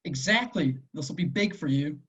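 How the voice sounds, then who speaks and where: A man's voice: a little high, animated, normal speed, fairly bright tone, breathy edge, highs cut off, heavily acted. A man playing an encouraging young journalist, insistent and coaxing, in an amateur script table read. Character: encouraging young male journalist, supportive drinking companion.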